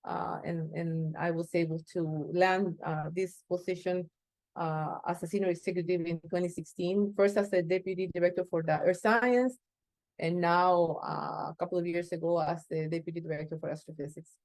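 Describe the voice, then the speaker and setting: A woman's voice: on the low side, steady tone, darkish tone, no echo. Woman with a Costa Rican accent. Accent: Costa Rican Spanish. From Costa Rica. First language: Spanish. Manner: halting, many fillers and restarts. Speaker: interview guest, space agency executive. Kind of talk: casual interview answers.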